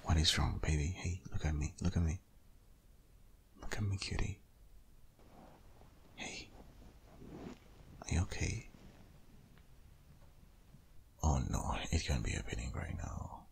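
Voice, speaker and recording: A man playing a protective, worried boyfriend in an intimate ASMR audio roleplay, speaking insistently in a husky, half-whispered voice. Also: fairly bright tone, heavily acted. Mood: anxious, urgent. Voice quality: very deep, gravelly, husky, often half-whispered.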